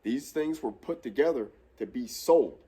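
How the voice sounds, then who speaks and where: A man's voice: mid-range voice, lively intonation, moderate pace, dark tone, slightly rough. A man in his thirties with a cold and a stuffy nose, hosting a casual shop tutorial in a large fabrication shop and rambling with frequent self-corrections. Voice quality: congested, nasal. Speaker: host of a truck build series. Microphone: camera on a tripod at mid distance.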